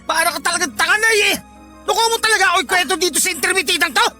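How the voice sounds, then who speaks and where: A woman's voice: very high voice, racing, soft background music. A woman playing a bratty, abusive grown child in a Tagalog radio drama, snarling insults at a parent. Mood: furious, defiant. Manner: snarling, insulting, shouted outbursts. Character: bratty, abusive grown child bullying their own mother.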